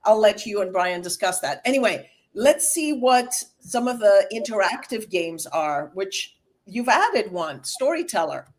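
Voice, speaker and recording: A woman's voice: mid-range voice, expressive, a little slow. A woman hosting an interview as a communication coach, emphatic and enthusiastic.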